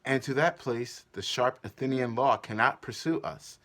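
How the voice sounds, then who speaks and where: A man's voice: mid-range voice, moderate pace, fairly bright tone, natural voice. A man playing an ardent, cheeky young lover, wooing dramatically in a Shakespearean stage reading. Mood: urgent, conspiratorial.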